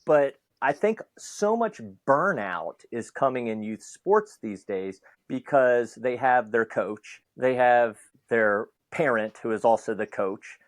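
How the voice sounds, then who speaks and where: A man's voice: mid-range voice, lively intonation, takes it slowly, hardly any pauses, no echo. A man hosting a podcast, talking conversationally and building long, reflective questions. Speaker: podcast host. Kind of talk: conversational interview.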